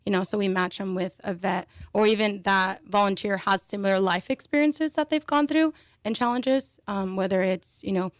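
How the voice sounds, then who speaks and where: A young woman speaks in a relaxed, rambling interview voice at a middle pitch. She leans on fillers and restarts her sentences as she goes. The sound is close and dry, with no room around it. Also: normal speed, few pauses, dry recording. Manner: chatty, with frequent fillers and restarts. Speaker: panel guest. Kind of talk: casual interview answers.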